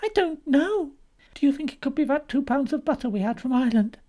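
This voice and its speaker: An anxious young housewife from a storybook, played by a woman, with a high, very mobile voice. She is polite but fretful, and expressive in every phrase. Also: fairly quick. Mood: worried. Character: anxious, harried young wife running a guest house.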